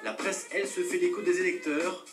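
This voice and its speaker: A man reads a news voice-over in a measured, clipped manner. His voice sits a little high and sounds roomy, picked up from a distance. A faint guitar plays behind him. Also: fairly even intonation. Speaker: news reporter.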